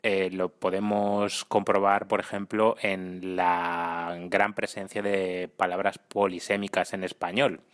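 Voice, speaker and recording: Male caller, deep and even voice, hesitant, restarts his phrases. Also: slow pace, hardly any pauses. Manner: careful, slightly hesitant, with many restarts. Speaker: listener sending a voice message. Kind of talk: prepared voice message.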